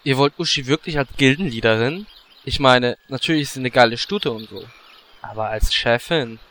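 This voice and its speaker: A man's voice: medium pitch, moderate pace, a bit bright, a little hiss or hum, lightly acted. A man playing a cheeky, lewd, scheming little gnome in a comic audio drama, brash and impudent. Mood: indignant.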